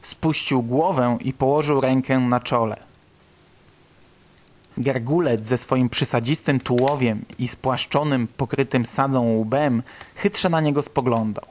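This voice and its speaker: A man's voice: medium pitch, measured pace. A man reading scripted literary narration for a radio drama.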